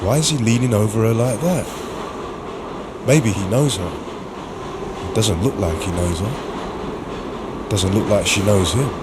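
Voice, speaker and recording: A man's voice: lowish voice, unhurried, long pauses, heavy background noise, voice pushed down, close to an everyday voice. A man playing a nervous, gossipy commuter muttering furtive thoughts to himself in a radio play. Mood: furtive, anxious. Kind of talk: radio play inner monologue.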